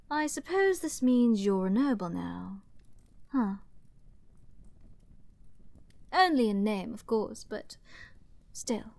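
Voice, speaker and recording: Woman doing a snobby flirty princess, kinda threatening, slow n dramatic w breathy bits, asmr roleplay, super dry close mic. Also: a little high, no echo, heavily acted. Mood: imperious, amused. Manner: haughty, theatrical, drawn-out phrasing with hushed breathy asides.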